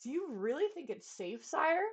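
Brief: earnest boy page, high and alarmed, lively swings in pitch, read by a woman. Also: normal speed. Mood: worried. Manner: high, urgent, alarmed calling.

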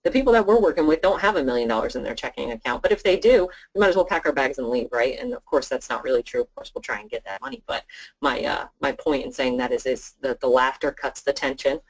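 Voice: medium pitch, expressive, fast talker, breathy edge, dull audio. An insurance sales trainer talking informally on a conference call, acting out snippets of client dialogue. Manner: informal, chatty, role-plays client dialogue.

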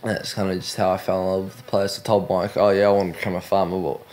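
A man's voice: sits low, level tone, fast talker, darkish tone. A young man in his late teens with a New Zealand accent, talking candidly and offhandedly into a phone held at arm's length as he films his own video diary outdoors on a farm. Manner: candid and offhand, with frequent fillers. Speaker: teen documenting his own life. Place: outdoors on a rural farm and in a bike shed. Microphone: phone camera held at arm's length.

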